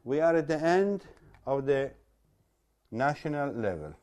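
A man with an Italian accent and native Italian, giving an explanatory lecture in a halting, repetitive way with rhetorical questions. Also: fairly high pitch, sing-song, darkish tone. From Italy. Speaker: religious lecturer. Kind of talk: explanatory talk to an audience.

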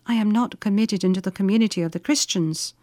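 A woman voicing a gentle, devout and dutiful servant girl in earnest, solemn, archaic storybook speech. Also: mid-range voice, fast talker, no echo, clean studio sound, lightly acted. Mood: fervent resolve.

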